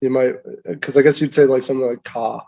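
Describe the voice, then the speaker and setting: Guy on a podcast mic, rambles, lots of likes and ums, middle-pitched voice. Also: fairly even intonation, fast, creaky, a little mellow. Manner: rambling, hedging with "like" and "um". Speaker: podcast co-host. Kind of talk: casual chat.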